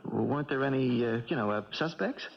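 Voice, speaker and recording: A man's voice: medium pitch, fairly quick, creaky, lightly acted. A man playing a confident, eager young American boxer with casual, colloquial speech in a 1970s radio drama. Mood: curious. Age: young adult. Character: Bobby Barlow, cocky young boxer, eager and plainspoken. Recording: dull 1970s radio broadcast.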